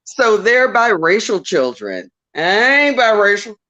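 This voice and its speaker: A woman's voice: medium pitch, sing-song, a little slow, fairly bright tone, dull audio. A woman with a Southern US accent, calling into a show and chatting emotionally, laughing often. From Southern United States. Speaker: caller. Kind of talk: casual call-in chat.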